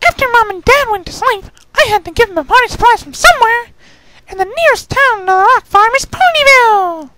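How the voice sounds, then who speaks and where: A performer voicing an excitable, chatty young female party pony from a cartoon, bubbly and eager. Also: very high voice, brisk, a little hiss or hum, voice pushed up, exaggerated voice, poor audio.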